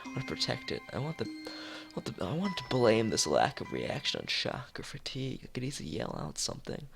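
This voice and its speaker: Bitter, brooding teenage boy read by a man, visual novel, gravelly fry, game music behind. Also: medium pitch, huge pitch swings, fairly quick, heavily acted. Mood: resigned, brooding. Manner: brooding, resentful.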